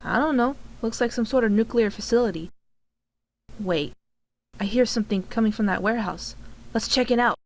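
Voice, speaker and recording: A woman's voice: on the high side, normal speed, long pauses, close to an everyday voice. Woman playing an alert adventurer, noisy recording. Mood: wary, curious. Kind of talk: scripted audio drama dialogue.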